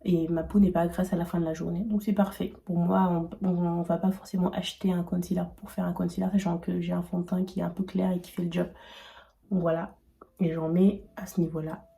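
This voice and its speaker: A woman's voice: on the low side, level tone, fairly quick, vocal fry, mellow. A young woman in her twenties with a cold, chatting casually while doing her makeup, rambling with many fillers and restarts. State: has a cold. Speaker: beauty vlogger.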